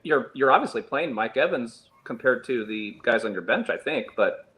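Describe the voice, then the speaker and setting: A man's voice: medium pitch, expressive, normal speed, phone-quality sound. A man giving casual, opinionated sports analysis as a panelist, speaking emphatically. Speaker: fantasy football panelist. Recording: clear background noise.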